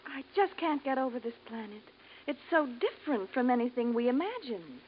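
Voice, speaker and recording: High-voiced, wonderstruck space explorer. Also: female voice, sing-song, a little fast. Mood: curious, admiring. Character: wonderstruck young woman on space expedition. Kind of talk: vintage radio drama acting. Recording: dull, faintly noisy old radio recording.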